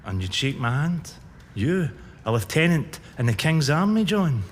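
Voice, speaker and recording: A man's voice: on the high side, sing-song, measured pace. An actor playing a young nobleman, wry and affectionate. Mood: wistful. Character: warm Scottish nobleman friend, young. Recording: reverberant with faint background noise.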